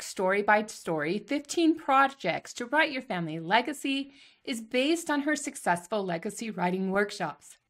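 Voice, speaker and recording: A woman's voice: fairly high pitch, sharp tone. A middle-aged woman hosting, presenting and interviewing in a conversational way from a home room. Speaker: host.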